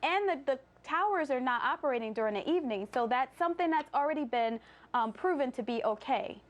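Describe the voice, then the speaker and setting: A woman's voice: high-pitched, expressive, a little fast. A woman with an American accent debating on a TV news panel as a legal analyst, countering in a reasoned way. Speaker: former prosecutor, TV legal panelist.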